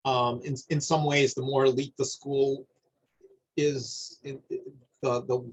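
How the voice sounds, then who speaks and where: A middle-aged man from New Jersey talking on a video call, halting and repeating words while he searches for them. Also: medium pitch, level tone, breathy edge. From New Jersey, USA. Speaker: interviewer. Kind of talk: thoughtful conversation on a video call. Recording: dull lo-fi video call audio.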